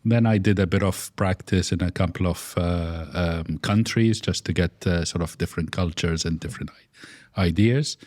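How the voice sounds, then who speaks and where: A man's voice: sits low, moderate pace, a little mellow, no room sound. A middle-aged man from Iraq, an architect guest in an interview, speaking with frequent fillers and restarts. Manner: hesitant, many fillers and restarts. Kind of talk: conversational interview.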